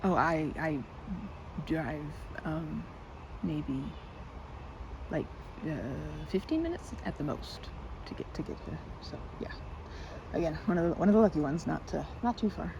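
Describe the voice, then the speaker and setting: Casting: actress as shy, sweet young woman for ASMR roleplay; halting, self-correcting, lively pitch, gravelly fry, noisy ambience behind. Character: timid, rambling, sweet young woman at a riverside. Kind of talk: ASMR roleplay, addressing the listener.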